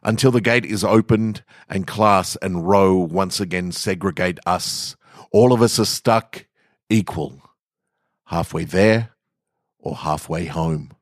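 Male podcast storyteller, low-pitched voice, close dry sound, relaxed literary reading. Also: takes it slowly, dry recording. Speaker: podcast host, author and reader. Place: garage, indoors.

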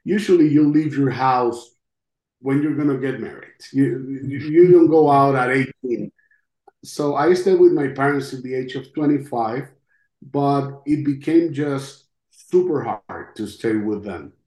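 A middle-aged man from Costa Rica with a Costa Rican Spanish accent gives personal testimony in an interview, speaking in a halting, reflective way. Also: mid-range voice, darkish tone. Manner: halting, reflective, with long pauses. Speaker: interview guest, podcast host. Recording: roomy, echoing sound.

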